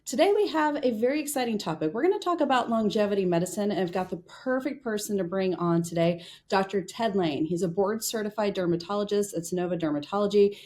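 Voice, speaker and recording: A woman's voice: mid-range voice, a little mellow. A woman hosting a podcast interview, speaking conversationally with many "you know" fillers. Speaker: podcast host dermatologist.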